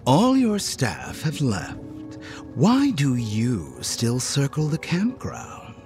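An actor playing a calm, inhuman man in a horror audio drama, mildly amused and quietly menacing, speaking in a measured, softly taunting way. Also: mid-range voice, soft background music. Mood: quiet probing. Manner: slow, measured, softly taunting, dropping toward a whisper.

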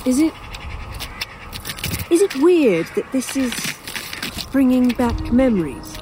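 A woman's voice: high-pitched, very animated, slow pace, heavily acted. A woman playing a weary, sardonic young writer in an audio drama, with a dry manner. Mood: uneasy, reflective. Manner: dry, sarcastic, hesitant. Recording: faintly noisy outdoor sound bed. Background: crows cawing, outdoor ambience.

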